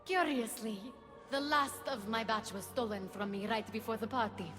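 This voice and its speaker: Scheming game goddess, voiced by a woman, fairly high female voice, insinuating, formal, theatrical, reverberant hall. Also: moderate pace, close to an everyday voice. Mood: sly, accusing. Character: scheming, silver-tongued goddess, false friend to the queen. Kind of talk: dramatic video game acting. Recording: hall reverb, music behind.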